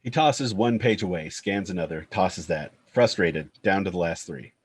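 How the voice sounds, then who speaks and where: A man's voice: sits low, moderate pace, husky. A man narrating screenplay stage directions at a table read.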